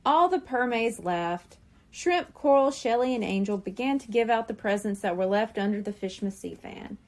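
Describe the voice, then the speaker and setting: A woman reading a children's story aloud as scripted, expressive narration. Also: on the high side, moderate pace.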